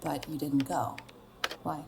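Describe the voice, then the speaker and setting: A woman's voice: low voice, steady tone, slow, gravelly, noisy background, exaggerated voice. A young woman playing a blunt, restless, sardonic 27-year-old star in a naturalistic radio drama, probing in a conversational way. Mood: curious, probing. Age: young adult.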